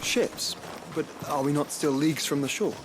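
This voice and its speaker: An actor playing a courtly, gently wry young nobleman in a fantasy video game, speaking with polite, formal curiosity. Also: on the high side, normal speed, very noisy, close to an everyday voice. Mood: curious. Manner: polite, refined, formal phrasing. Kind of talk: video game cutscene acting.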